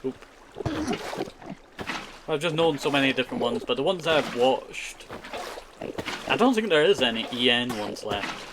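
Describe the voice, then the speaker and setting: A man's voice: medium pitch, lively intonation, measured pace, long pauses, background noise. A man who is a native Scottish Gaelic speaker from Scotland, giving chatty, rambling live gaming commentary and often repeating short phrases. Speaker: streamer.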